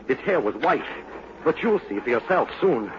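An actor playing the haunted, brooding son of a mad sea captain in a dramatic, insinuating 1940s radio style. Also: male voice, mid-range voice, big pitch swings, moderate pace, lightly acted. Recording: muffled, hissy 1940s radio broadcast.

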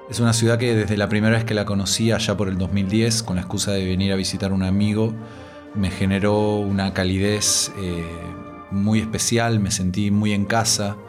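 A man in his thirties from Argentina, talking with a Rioplatense accent in a fairly low voice. He speaks reflectively, stopping and repeating words as he gathers his thoughts. Soft guitar music plays behind him. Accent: Argentine Rioplatense Spanish. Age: 30s. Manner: thoughtful, halting, repeats words as he searches for them. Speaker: street poet, interviewee. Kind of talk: reflective personal interview.

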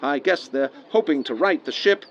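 An actor playing an earnest 1930s radio field reporter giving hesitant, descriptive live commentary through a dull vintage radio sound. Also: male voice, a little high, lively intonation, moderate pace, a little hiss or hum. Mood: concerned, observant.

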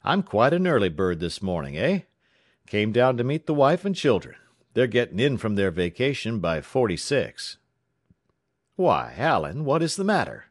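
A man's voice: deep voice, animated, normal speed, few pauses, no room sound, studio quality. A man voicing a middle-aged railway superintendent, hearty and commanding, in an audiobook story. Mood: genial. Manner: hearty, then urgent and clipped.

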